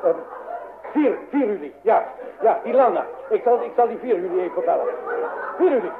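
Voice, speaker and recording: Fretful high-voiced father, vintage radio. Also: lively intonation, moderate pace, music in the background. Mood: flustered. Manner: agitated, stammering, repeating himself. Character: anxious, fussy, blustering middle-aged father. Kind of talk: old radio comedy drama acting. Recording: noisy, dull vintage radio recording.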